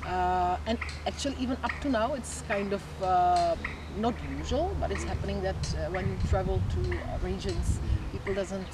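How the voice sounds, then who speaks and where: A woman in her forties from the Czech Republic, whose first language is Czech, talking reflectively with many pauses in an interview. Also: mid-range voice, steady tone. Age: 40s. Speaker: guest. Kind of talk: casual interview. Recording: loud background noise.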